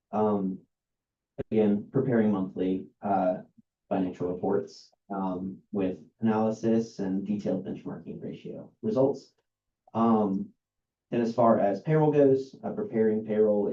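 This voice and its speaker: A man, an outside accountant, reading a list of services aloud at a meeting in a dull, monotone way, with many ums and uhs. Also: lowish voice, unhurried. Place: meeting room. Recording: roomy, dull lo-fi meeting recording.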